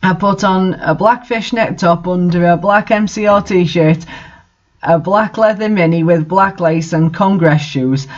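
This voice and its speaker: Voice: medium pitch, unhurried. Bemused fan fiction reader, slightly muffled. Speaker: host reading bad fan fiction aloud. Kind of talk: fan fiction reading with casual commentary.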